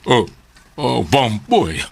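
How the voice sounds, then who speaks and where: A man playing an authoritative boss in a Bisaya radio drama, speaking deliberately and emphatically with a Filipino accent. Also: mid-range voice, sing-song, creaky, breathy edge, faint music behind, exaggerated voice. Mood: surprised questioning. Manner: deliberate, emphatic, repeating questions. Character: authoritative male boss questioning his staff.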